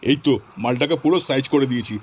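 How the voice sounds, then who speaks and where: A man voicing a sly scheming male kidnapper and thief, gloating in low asides and whining when caught. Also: rapid-fire, a little mellow, a little hiss or hum, natural voice. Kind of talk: amateur home-video drama.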